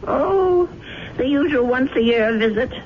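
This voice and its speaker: A woman's voice: sits high, expressive, slow pace. A woman playing a kindly, fussing elderly widow in a 1970s radio drama, fretful and motherly. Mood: chatty, kindly. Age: older. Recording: muffled, noisy 1970s radio broadcast.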